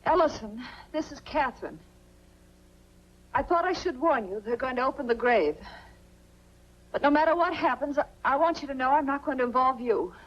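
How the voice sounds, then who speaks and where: A woman's voice: high voice, expressive, moderate pace, pauses often. A woman playing a frightened, pleading young woman in an old 1940s film noir. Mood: anxious. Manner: earnest, pleading. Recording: dull, band-limited 1940s film soundtrack.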